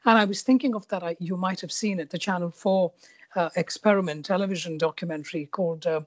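A woman's voice: lowish voice, fast talker, no echo. Earnest woman interviewer. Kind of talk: conversational interview.

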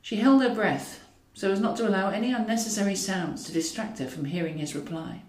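A woman's voice: medium pitch, brisk, darkish tone. A woman reading a comic novel as scripted narration, with a wry, dryly ironic way of telling it. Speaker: author reading own novel. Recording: roomy echo, distant from mic.

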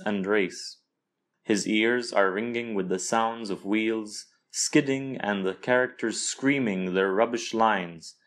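Low-voiced man narrating a literary story. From Lebanon. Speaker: author narrating own story.

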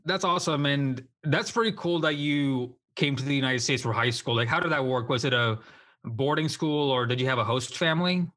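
A man's voice: mid-range voice, a little fast, fairly bright tone. A man hosting an interview podcast, upbeat and encouraging, asking short questions. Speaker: podcast host.

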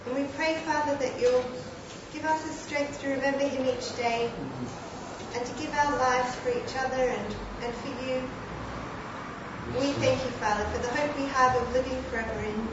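A woman offers a soft, gentle prayer. Her voice is high. The sound is distant and dull, with heavy echo and noticeable background noise. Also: normal speed, long pauses. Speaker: congregation member giving a prayer. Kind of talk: spoken prayer. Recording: echoey room from far off, noisy.

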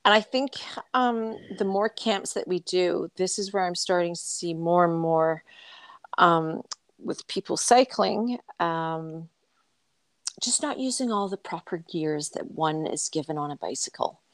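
Scottish woman, lowish voice, dry recording, coach co-hosting a casual podcast, explains with questions. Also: a little slow, dry recording. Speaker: endurance coach and podcast co-host. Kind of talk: casual conversational podcast talk.

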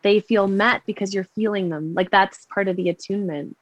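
A woman's voice: mid-range voice, fairly even intonation, a little fast, dry recording. A woman who works as a somatic therapist, talking casually in a group conversation and often restarting her sentences. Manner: many fillers and restarts, warm agreeing murmurs.

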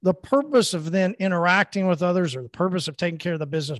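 A man's voice: high voice, no room sound. Earnest rambling middle-aged man, dry sound. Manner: earnest, rambling, repeats himself. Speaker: podcast co-host. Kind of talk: casual motivational talk.

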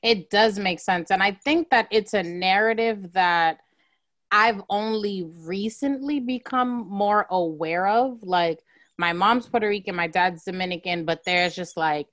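A woman's voice: medium pitch, a little slow, fairly bright tone, no room sound, studio quality. A woman hosting a podcast interview, chatty and enthusiastic, peppering her speech with "like". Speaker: podcast host. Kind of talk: casual interview chat.

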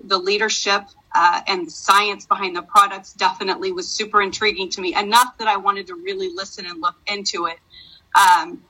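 A woman's voice: mid-range voice, pushed and bright, husky, poor audio. A woman in her forties, talking eagerly and casually as an interview guest, with frequent ums and likes. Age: 40s. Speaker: guest, network marketer.

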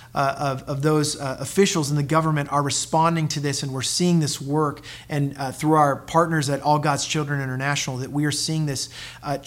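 A pastor addressing his congregation, a man with a slightly high voice. He talks in a halting way, with fillers and repeated words as he goes. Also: fairly bright tone. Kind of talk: sermon announcement to a congregation.

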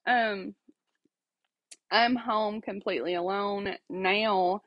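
A woman's voice: medium pitch, fairly even intonation, slow pace, dull audio, background noise. A woman, hosting a casual faith podcast from home, chatty and apologetic, laughing often and asking "okay?", her voice stuffy and sniffly. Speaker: podcast host. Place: at home alone.

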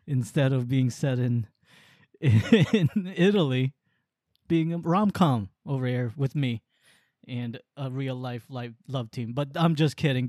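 Mellow-voiced joking young man. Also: medium pitch, no echo, studio quality. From Philippines. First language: Waray. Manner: joking, easygoing. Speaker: podcast co-host. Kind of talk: casual podcast chat.

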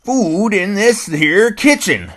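A man voicing a kindly, slow old blind male hound dog in a bedtime story, drawling and hesitant. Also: high-pitched, very animated, fairly bright tone, voice pushed up, exaggerated voice. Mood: friendly.

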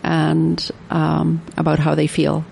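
Gravelly low-voiced woman host. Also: monotone, slow, darkish tone, slight background noise. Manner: hesitant, filler-heavy, self-deprecating. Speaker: radio show host. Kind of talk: radio interview conversation. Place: radio studio.

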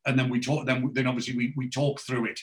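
A man's voice: medium pitch, little pitch movement, fast. A man in his forties with a Geordie accent, hosting a podcast interview and rambling through many restarts and fillers. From North East England. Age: 40s. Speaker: podcast host. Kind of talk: casual interview.

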